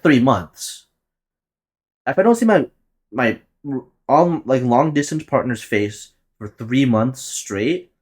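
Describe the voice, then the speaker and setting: A young man near twenty hosts his own podcast alone, rambling through his plans in a loose, profane, offhand way. His voice sits in an ordinary middle pitch, and he often laughs at his own jokes. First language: Tagalog. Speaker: podcast host. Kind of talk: casual solo podcast monologue.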